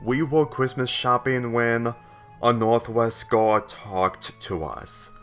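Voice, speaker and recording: A man's voice: medium pitch, takes it slowly, fairly bright tone, faint music behind. A man reading a storybook's boisterous, teasing brother with a blunt manner. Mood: matter-of-fact. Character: teasing, boisterous young brother of a teenage girl. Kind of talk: storybook character dialogue.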